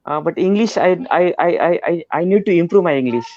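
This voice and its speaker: A man from Maharashtra, India, with an Indian accent and Marathi as his first language, a schoolteacher speaking haltingly with many fillers on a group call. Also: a little high, animated, darkish tone, dry recording, highs cut off. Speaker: schoolteacher, participant. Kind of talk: casual group video call.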